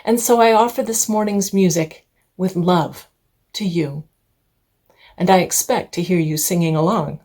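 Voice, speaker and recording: A woman's voice: on the low side, unhurried, darkish tone. A middle-aged woman, a singer and intern minister, gives a heartfelt personal address to her congregation.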